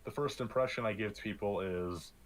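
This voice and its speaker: A young man with a deep, loud voice co-hosting a podcast in casual chat, often restarting his sentences and joking dryly. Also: a little fast, poor audio. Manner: deep loud voice, hesitant restarts, dry jokes. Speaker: podcast co-host. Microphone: streamed podcast mic.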